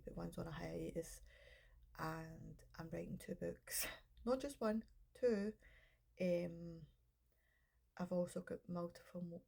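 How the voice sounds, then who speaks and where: A woman's voice: fairly low pitch, gravelly, dark tone, husky. Stuffy-nosed woman, chatty and rambling. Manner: rambling, chatty, self-interrupting. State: congested with a cold. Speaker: business coach and counsellor. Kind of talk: casual vlog talk to camera.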